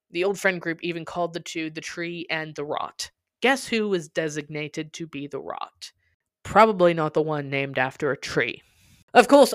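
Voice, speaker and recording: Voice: medium pitch, a bit bright, clean tone, no room sound, studio quality. A podcast host reading a scripted personal narrative aloud with dramatic, emphatic delivery.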